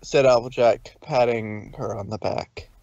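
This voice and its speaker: Mid-pitched man reading a story, slightly dull sound. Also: steady tone, a little slow, vocal fry, darkish tone, no echo. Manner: loose, stumbles and corrects himself. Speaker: narrator. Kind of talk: informal fan fiction reading.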